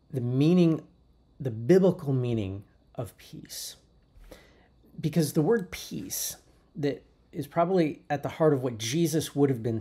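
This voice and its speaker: A man preaching a sermon as pastor, in a thoughtful, unhurried way with frequent restarts. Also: mid-range voice, vocal fry, mellow, breathy edge. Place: church sanctuary.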